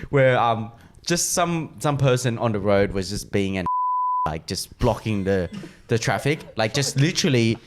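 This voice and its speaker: Lively, giggly man. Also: on the low side, a little slow, noisy background. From Vietnamese family. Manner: animated, bursts into laughter while telling stories. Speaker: podcast co-host. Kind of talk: casual storytelling.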